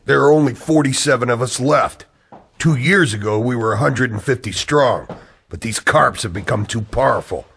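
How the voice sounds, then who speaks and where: An actor playing a grave, embittered conspirator in an old-style radio drama, speaking with ominous, insistent drama. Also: male voice, mid-range voice, moderate pace, gravelly, a bit bright, husky. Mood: earnest warning. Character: grave, embittered male conspirator hunting hidden creatures. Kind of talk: 1940s radio drama acting.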